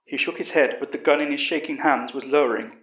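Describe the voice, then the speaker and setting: A man's voice: mid-range voice, quick pace, a bit bright, close to an everyday voice. A man playing an elderly English professor who earnestly recounts a horror story, in a roomy, slightly dull recording. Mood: reflective. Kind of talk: first-person horror audio drama narration.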